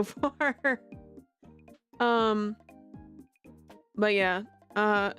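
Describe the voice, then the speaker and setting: A woman chatting casually on a game livestream, giggly, rambling and self-deprecating, speaking close to the microphone. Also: fairly high pitch. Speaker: livestreamer, amateur voice actor. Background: music.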